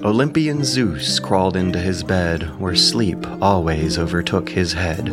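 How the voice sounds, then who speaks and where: A man's voice: low-pitched, unhurried, loud music behind the voice. A man reading scripted narration as narrator and commentator.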